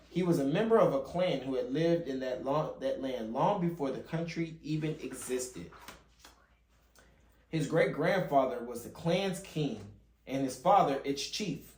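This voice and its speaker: A man with a fairly high-pitched voice reads a storybook aloud for children. He sometimes trips on a word and repeats the phrase. The recording is echoey, as in a bare room at home. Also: a little mellow. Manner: stumbles and rereads phrases as he reads. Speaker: father hosting a children's read-along channel. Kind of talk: reading a picture book aloud.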